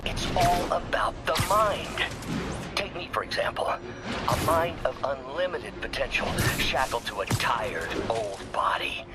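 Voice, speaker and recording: Under loud music, a man voices an aging game scientist with feverish, grandiose vision. His delivery swings widely in pitch, deliberate and theatrical like an orator. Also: animated, natural voice. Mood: fervent, grandiose. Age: older. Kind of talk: video game voice acting.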